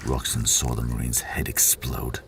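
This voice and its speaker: A man's voice: extremely low pitch, monotone, moderate pace, a bit bright, a little hiss or hum. A man narrating a fiction audiobook in a dramatic, scripted storytelling style. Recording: voice over prominent background music.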